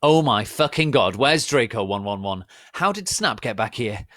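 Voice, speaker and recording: A man's voice: mid-range voice, lively intonation, normal speed, a bit bright, no room sound, studio quality, voice pushed up, lightly acted. A man voicing a melodramatic, angry teenage vampire girl, indignant and over-dramatic. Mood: distraught.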